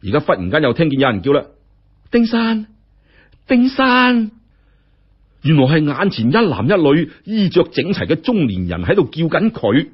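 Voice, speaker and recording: Husky, animated Cantonese storyteller man. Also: a little high, a little fast, few pauses, lo-fi sound. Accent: Hong Kong Cantonese. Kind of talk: dramatic scripted story narration.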